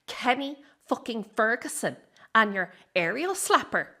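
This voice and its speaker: An actress plays a wounded, defiant young circus woman in a radio play. Her voice is sharp and forceful, with lively swings in pitch, and she speaks with sarcastic, confrontational bite. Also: a little slow, exaggerated voice. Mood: bitter, furious. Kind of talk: dramatic radio play dialogue.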